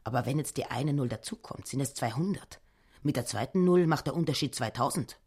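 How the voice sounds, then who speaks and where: Radio play role: grasping, scolding wife, pointed and complaining, medium pitch. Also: lively intonation, fairly quick, darkish tone, breathy edge. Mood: calculating. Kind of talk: literary radio play dialogue.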